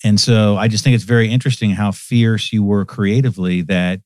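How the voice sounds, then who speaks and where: A man's voice: lowish voice, steady tone, fairly quick, a little mellow, no room sound, studio quality. A man hosting an interview, effusive and eager, often finishing his guest's sentences. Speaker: interview host. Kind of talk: casual interview chat. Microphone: studio microphone.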